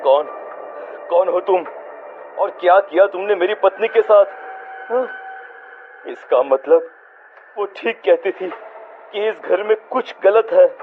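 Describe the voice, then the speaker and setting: A man's voice: high voice, takes it slowly, pauses often, slight background noise. A man playing an earnest young husband with dramatic delivery in a horror cartoon. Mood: frightened, pleading. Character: caring young husband, bewildered and frightened. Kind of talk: cartoon horror dialogue acting. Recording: muffled audio with faint music.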